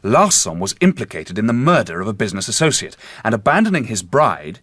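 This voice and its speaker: A man's voice: lowish voice, very animated, brisk, fairly bright tone, no echo. An actor playing a confident amateur detective in a radio drama, dramatic and eloquent as he tells the tale. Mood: assured. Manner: dramatic, eloquent, assured storyteller. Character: theatrical, confident amateur detective revealing a mystery. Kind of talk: period radio drama acting.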